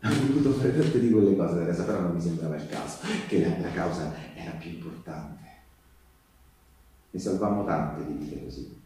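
Echoey, deep-voiced man acting a partisan storyteller. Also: background noise, lightly acted. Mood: animated, reminiscing, then grave, confiding. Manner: emphatic storytelling with repetitions and deliberate pauses. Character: male wartime partisan recounting resistance memories to Teresa. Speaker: theatre storyteller. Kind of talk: live theatrical narrative monologue. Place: live theatre performance with live music. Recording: very echoey stage recording, distant, music behind.

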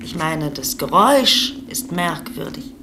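A woman's voice: deep voice, sing-song, a little slow. Doting, fussy visiting mother, effusive and sentimental, radio drama, light recording noise. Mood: uneasy, suspicious. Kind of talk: mid-century German radio play acting. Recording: noisy vintage radio play recording.

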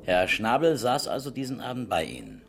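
A male detective in a radio crime play. His voice sits at a medium pitch with a very mobile melody, and he questions people in a terse, businesslike, formal way. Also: wildly swooping pitch, brisk, vocal fry, a little hiss or hum. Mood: probing. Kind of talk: 1970s German radio crime drama acting.

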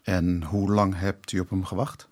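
An actor playing a courteous, methodical police inspector in a Dutch radio play, with a probing, matter-of-fact manner. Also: male voice, low voice, level tone, moderate pace, a little mellow.

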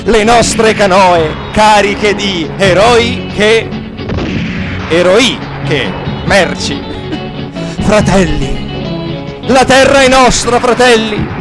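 Pompous, high-pitched mafia chieftain. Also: male voice, low-quality recording. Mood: grandiose, gloating. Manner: grandiose, pompous, declamatory. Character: pompous male mafia boss posing as Indian chief. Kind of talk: satirical radio theatre speech.

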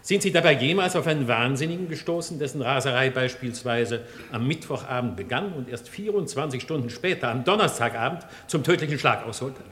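An actor playing a formal, shrewd defense lawyer making deliberate, rhetorical challenges in a German radio crime drama. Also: male voice, mid-range voice, animated, a bit bright, close to an everyday voice. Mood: measured, probing. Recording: long courtroom reverb.

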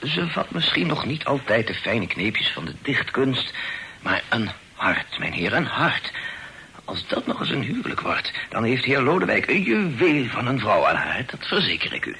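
An actor in a period radio play, voicing a humble, talkative old poet who is effusive, hesitant and deferential. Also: male voice, mid-range voice, very animated, normal speed, bright, forceful tone. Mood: chatty, fond. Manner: effusive, hesitant, sighing, repeats himself. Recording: noisy old radio drama recording.

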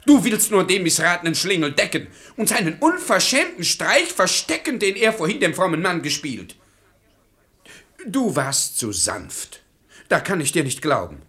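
Casting: pig-headed middle-aged patriarch, forceful bright tone, big swings in pitch, imperious verse comedy delivery. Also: male voice, very animated, moderate pace, exaggerated voice. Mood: obstinate. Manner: emphatic, imperious, dismissive. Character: stubborn, gullible, domineering middle-aged father and husband. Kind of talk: classic verse comedy radio play acting.

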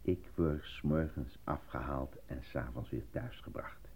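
An actor in a radio play voicing an eager, naive, easily flustered man who stammers and gets excitable. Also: very deep voice, unhurried, a little hiss or hum. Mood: persuasive. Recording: muffled lo-fi old radio recording.

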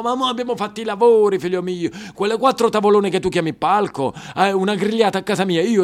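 A man performing on stage, voicing a patronizing middle-aged town clerk who lectures loudly. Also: sits high. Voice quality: loud, hectoring, lecturing. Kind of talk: theatre monologue performance. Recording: broadcast of a live stage show.

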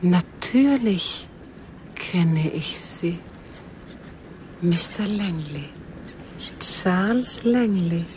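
A frail, dazed young lady in a radio drama. Her lowish voice moves extremely slowly, halting and tentative, with clear noise around it. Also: animated, darkish tone. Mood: dazed, tender. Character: frail, dazed young woman slowly recognising an old friend. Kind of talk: radio play acting, 1970s.

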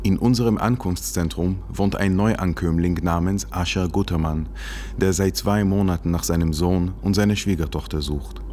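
A man's voice: deep voice, normal speed, dark tone, a little hiss or hum, natural voice. A man reading an official letter aloud in a formal, sober way. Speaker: reader of a letter.

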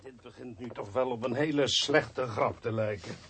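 An actor playing a grumbling, sardonic doctor in a radio drama, with a husky voice and a dry manner. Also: male voice, medium pitch, normal speed, a bit bright, slight background noise, natural voice. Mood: grumbling, irritated. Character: grumbling sardonic male doctor examining bodies.

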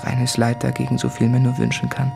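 Anguished, self-doubting male writer, creaky mid voice, intimate radio-play monologue over music. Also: mid-range voice, completely flat delivery, a little fast. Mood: anguished longing.

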